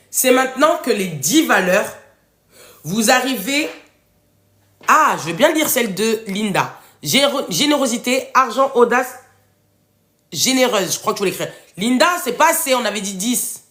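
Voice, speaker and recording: A woman's voice: on the high side, a bit bright. A woman with a head cold hosting a casual live coaching workshop in French, speaking informally and affectionately to her listeners as she encourages them. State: congested, runny nose from a cold. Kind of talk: casual interactive coaching livestream, reading out participants' answers. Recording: roomy, echoing room sound.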